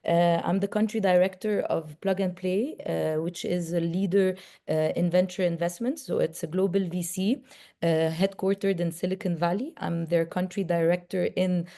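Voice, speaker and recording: A woman's voice: lowish voice, steady tone, normal speed, dark tone. A woman from Egypt, a native Arabic speaker with an Egyptian accent, introducing herself as a panelist. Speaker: panelist, country director.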